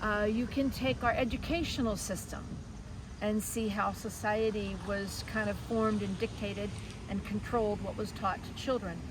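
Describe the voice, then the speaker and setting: A woman's voice: medium pitch, fairly even intonation, normal speed, background noise. A woman speaking as an interview guest and museum director, explaining things in an earnest, articulate, conversational way.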